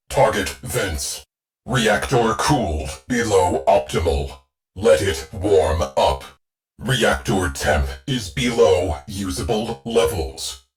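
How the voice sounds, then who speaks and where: A game's terse ship AI, deep and slow, dry deadpan orders, faint music under. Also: male voice. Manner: clipped, deadpan tactical briefings. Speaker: ship's onboard AI. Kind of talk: video game voice lines.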